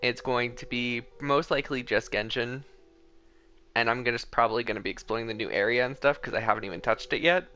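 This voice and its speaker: A man's voice: medium pitch, a little fast, pushed and bright. A man chatting casually and commentating as he livestreams a video game. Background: faint game music.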